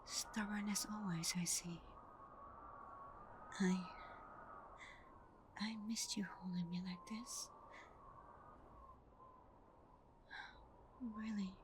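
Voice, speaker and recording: A woman playing a tender, devoted vampire girlfriend in an intimate ASMR roleplay, speaking softly and breathily, often in a whisper, gentle and reassuring. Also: medium pitch, fairly even intonation, slow, long pauses, noisy background, natural voice.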